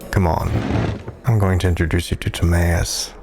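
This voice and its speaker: Man playing deep gravelly smirking team boss. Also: little pitch movement, moderate pace. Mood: firm, teasing. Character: smirking, dry, controlling male racing team recruiter. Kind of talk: acted science fiction audio drama dialogue. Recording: voice over clear background music.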